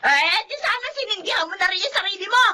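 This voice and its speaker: A feuding older woman with a very high, piercing voice. She scolds and picks fights in a sharp-tongued, confrontational way, as in a drama, over noticeable background noise. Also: expressive, fast talker, heavily acted. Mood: angry, scolding.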